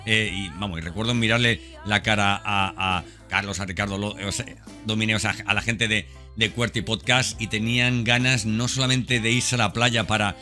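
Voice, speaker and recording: A man's voice: fairly low pitch, steady tone, normal speed, bright, forceful tone. A man hosting a podcast in an informal, unscripted monologue, talking directly to the listener and repeating phrases for emphasis. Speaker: podcast host. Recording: background music under the voice. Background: background music.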